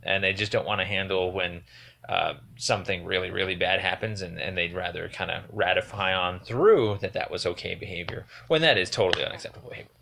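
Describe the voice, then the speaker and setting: A man on a phone call, giving a rambling, earnest and halting account of his complaint as a caller. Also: low-pitched, creaky, bright, forceful tone, a little hiss or hum. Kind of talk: phone conversation, explanatory account.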